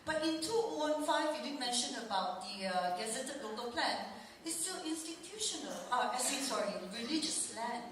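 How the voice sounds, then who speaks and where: A woman's voice: high voice, animated, moderate pace, a bit bright. A Malaysian woman speaking as a residents' representative at a public townhall, earnest and roundabout as she puts questions to an official. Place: large indoor hall at a public meeting. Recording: very echoey hall, distant, faint noise.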